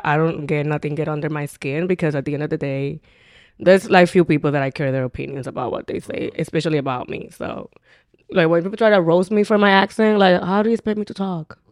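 A woman's voice: sits low, steady tone, fairly quick, dry recording, studio quality. A woman with a Dominican accent and New York touches, a Spanish speaker, chatting bluntly and jokingly on a podcast. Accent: Dominican Spanish with New York English. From Dominican Republic. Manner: blunt, joking, swears freely. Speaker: podcast co-host. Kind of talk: casual chat.

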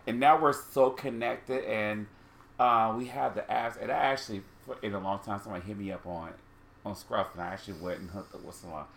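A man's voice: fairly low pitch, steady tone, a little fast, creaky, a bit bright. A man of about forty co-hosting a candid chat, rambling and losing his thread. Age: 40s. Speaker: podcast co-host.

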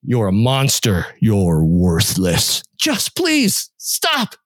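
A man voicing a chorus of cruel inner voices that hurl quick, shouted accusations. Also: fairly low pitch, huge pitch swings, no room sound, clean studio sound, full character voice. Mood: berating. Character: shrill berating inner voices, cruel and frantic.

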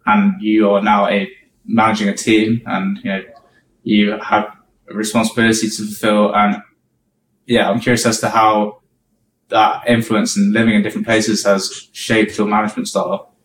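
A man interviews a guest as a podcast host. His voice is on the low side and fairly even. He is recorded a little far from the mic in an echoey space. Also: normal speed. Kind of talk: conversational interview questions. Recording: echoey, distant mic, faint noise.

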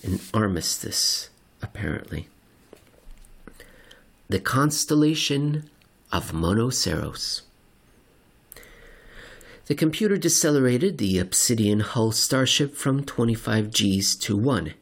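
A man reading a scripted narration in a dramatic, deliberate and wry manner. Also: medium pitch, lively intonation, vocal fry, husky. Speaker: narrator and reader. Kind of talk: theatrical scripted narration of market report and story.

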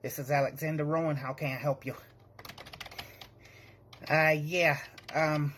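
A man's voice: fairly high pitch, a bit bright. A man playing a nervous, bumbling young tech-support rookie, hesitant, apologetic and stammering, in a comedic monologue. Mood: flustered, sheepish. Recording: clearly noisy background, keyboard clicks.

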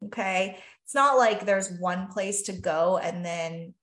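Woman from taiwan talking slow and thoughtful on a podcast, lots of "you know". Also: medium pitch, a bit bright, roomy sound. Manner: earnest, thoughtful, many "you know" and "really". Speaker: guest, physician and life coach. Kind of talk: reflective podcast conversation.

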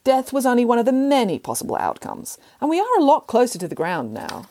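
A woman's voice: fairly high pitch, huge pitch swings, mellow, voice pushed up. Woman playing a bossy, breezy pig nanny. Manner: brisk, bossy, cheerfully outrageous. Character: bossy, reckless pig nanny, former circus performer.

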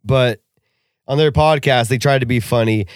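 A young man co-hosting a podcast, talking casually with animated, profane rants. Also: medium pitch, normal speed, no echo, studio quality. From Russian family background. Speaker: podcast co-host.

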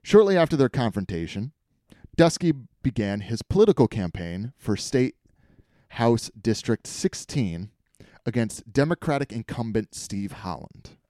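A man's voice: medium pitch, big pitch swings, a little slow, dark tone, no room sound, studio quality. A man hosting a podcast, telling a comedic story in a wry, irreverent way. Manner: wry, irreverent, swears casually.

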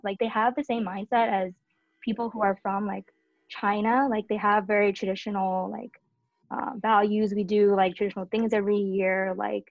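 A woman's voice: mid-range voice, no room sound, phone-quality sound. A young woman talking casually on a podcast, chatty, with frequent fillers. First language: Cantonese and English. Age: young adult.

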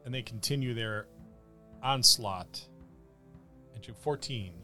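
A man working as a game master, improvising halting, descriptive narration of a tabletop fantasy battle. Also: mid-range voice, sharp tone, faint music behind.

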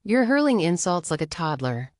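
Woman performing firm, curt female refusing to be bullied, slightly low pitch, dry close recording. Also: very animated, normal speed, no room sound. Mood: defiant. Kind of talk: dramatic reading of a confrontation.

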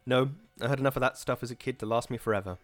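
A man voicing a weary, bitter young wife who speaks in a flat, mirthless way. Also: mid-range voice, rapid-fire, no echo, soft background music, close to an everyday voice.

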